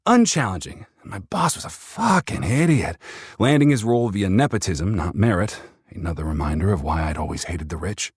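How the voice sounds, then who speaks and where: Male audiobook narrator, deep register, rough husky texture, scripted first-person storytelling, in-character delivery. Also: dry recording, clean studio sound.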